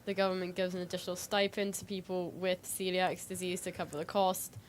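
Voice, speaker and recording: A woman's voice: fairly low pitch, flat delivery, brisk. A woman co-hosting a casual chat, talking hesitantly with lots of filler words. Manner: hesitant, frequent "like" hedging. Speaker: co-host. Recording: faint background noise.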